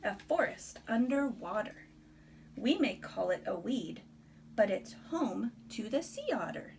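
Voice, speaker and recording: A woman reading a rhyming picture book aloud to a small child in an expressive, deliberate storybook manner, with chatty asides. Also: mid-range voice, vocal fry, slight background noise.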